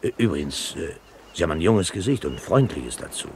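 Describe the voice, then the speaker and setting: A man's voice: low-pitched, sing-song, brisk, a little mellow, slightly rough, heavily acted. An actor playing a jovial, sly middle-aged one-legged ship's cook with folksy, wheedling seaman's patter in a radio play. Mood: chummy, teasing. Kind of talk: 1970s German radio play acting.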